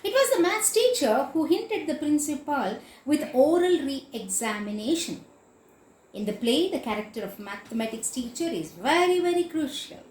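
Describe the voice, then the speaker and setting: A woman teacher giving an explanatory literature lecture in a didactic, emphatic way, repeating and spelling out key words. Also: sits high. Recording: echoey room.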